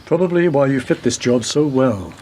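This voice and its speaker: A man's voice: mid-range voice, expressive, normal speed, darkish tone, a little hiss or hum, lightly acted. A man playing a senior police detective, dry and world-weary, asking terse questions in a radio play. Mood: dry, pensive. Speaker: actor in a community radio play. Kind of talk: radio drama dialogue.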